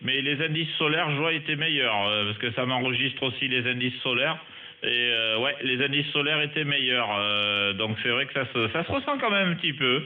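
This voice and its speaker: Man on muffled amateur radio, halting technical chat. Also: mid-range voice, expressive. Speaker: amateur radio operator running a contact. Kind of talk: casual ham radio chat with phonetic call signs. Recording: muffled narrow-band amateur radio audio.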